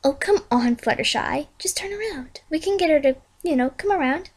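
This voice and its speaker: A worried female unicorn pony from a storybook, read by a woman in her own light voice. The pitch is high and lively. She is hesitant, trying to coax and reassure. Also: normal speed. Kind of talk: amateur storybook fan fiction reading.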